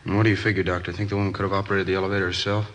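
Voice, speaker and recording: An inquisitive American police detective from a 1950s radio drama. He has a lowish voice and very rapid, clipped delivery. There is faint noise on the old recording. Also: little pitch movement, a bit bright. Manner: clipped, questioning. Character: inquisitive, businesslike male police detective, junior partner.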